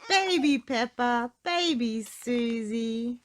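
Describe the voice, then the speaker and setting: A woman voicing an excitable, curious, giggly little girl piglet from a children's storybook. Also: a little high, slow, slight background noise, pitched above the performer's own voice. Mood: excited, curious. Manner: exclamatory, giggly.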